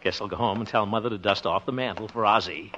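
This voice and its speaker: A man's voice: fairly low pitch, fast, pushed and bright, faint music behind. A man playing a self-satisfied, scheming small-town fellow in 1950s radio comedy, blustering and muttering sly asides with chuckles. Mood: smug, muttering. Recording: dull vintage 1950s radio recording.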